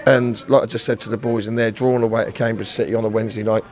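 A man's voice: fairly low pitch, flat delivery, very fast, background noise. A middle-aged man, a football manager giving a frank post-match interview and often repeating words as he searches for them.

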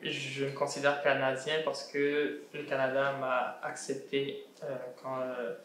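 Man, 20s, from Burundi, hesitant speech, mid pitch, echoey room. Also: completely flat delivery, a bit bright, a little hiss or hum. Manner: hesitant, many pauses and fillers. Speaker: panel guest. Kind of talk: casual panel discussion. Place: indoor salon with brick walls.

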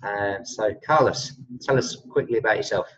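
A man's voice: fairly low pitch, normal speed, fairly bright tone. A man in his fifties, a health and wellness coach, chatting casually on a video call from a room at home, with many fillers and restarts. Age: 50s. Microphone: laptop webcam on a video call. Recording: dull lo-fi video call audio.

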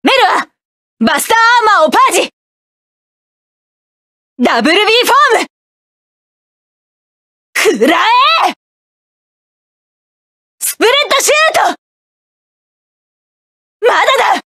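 Commanding female mecha pilot for a game; high, lively, unhurried delivery. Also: dry recording, clean studio sound, full character voice. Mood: fierce. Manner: commanding, barking attack orders. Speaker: game character. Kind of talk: video game battle voice lines.

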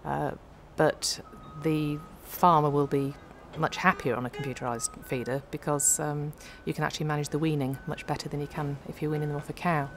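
A woman's voice: low voice, little pitch movement, fairly quick, few pauses, a little hiss or hum. A middle-aged woman who works as a calf nutrition specialist, explaining practical advice to an off-camera interviewer, with frequent ums, in an indoor farm feed store. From United Kingdom.

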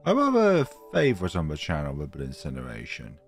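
Deep-voiced gaming host with a cold, croaky, chatty self-mocking commentary over faint music. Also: male voice, huge pitch swings, fast talker. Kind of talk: casual gameplay commentary.

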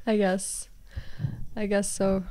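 A woman's voice: mid-range voice, level tone, slow, warm tone, dry recording. A young woman from Portugal, whose first language is Portuguese, chatting as a podcast guest in a small studio, searching for words with frequent fillers and laughs. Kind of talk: casual chat. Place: small studio room with dark walls. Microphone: desk microphone on boom arm.

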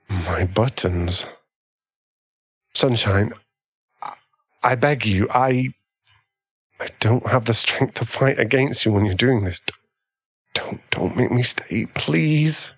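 A man playing a doting, easily swayed millionaire boyfriend in an ASMR roleplay, pleading and halting, with breathy sighs. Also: lowish voice, dry recording, exaggerated voice. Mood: flustered, yielding.

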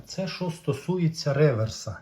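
A man giving an informal, unscripted repair walkthrough as a hobbyist, explaining haltingly and pausing mid-sentence. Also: on the high side, animated, dark tone. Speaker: repair hobbyist presenting a demonstration. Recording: dull lo-fi sound.

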